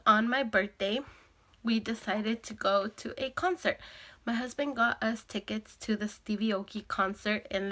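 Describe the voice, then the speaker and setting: A woman's voice: mid-range voice, a bit bright. A young woman vlogger with a sore throat and a congested nose, chatting casually to camera with lots of fillers. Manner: chatty, with frequent fillers and laughs.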